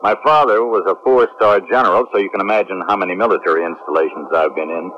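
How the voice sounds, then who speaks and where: A man's voice: sits low, fast talker, natural voice. A man acting a confident, strict military spaceship captain in a 1970s radio drama, commanding and self-assured. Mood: reflective, wry. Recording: muffled 1970s radio drama recording, faint noise.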